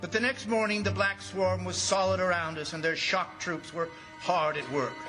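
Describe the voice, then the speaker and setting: An actor playing an anxious district commissioner who narrates a jungle disaster in grave, urgent old-time radio style. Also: male voice, high-pitched, steady tone, moderate pace, music in the background, natural voice. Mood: grave, tense.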